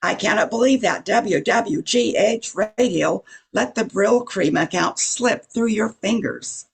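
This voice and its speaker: An older woman playing a brisk, self-assured, wry radio newsroom boss in a 1940s-style radio comedy, with a creaky, husky voice. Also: mid-range voice, full character voice. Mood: teasing, wry.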